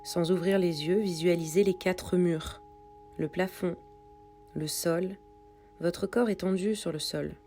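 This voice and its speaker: A woman reading a guided yoga nidra meditation in a calm, soothing, unhurried way with pauses. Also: fairly low pitch, soft background music. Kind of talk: guided meditation read from a script.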